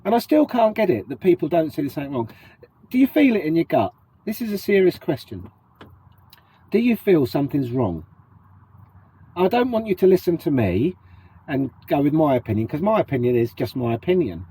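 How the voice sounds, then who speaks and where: Sniffly British man with a husky voice. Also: fairly high pitch, very animated, brisk, pauses often, mellow, low-quality recording. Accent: British English. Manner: chatty, rhetorical, asks the viewer questions. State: has a cold, sniffly. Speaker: vlogger. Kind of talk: casual to-camera chat.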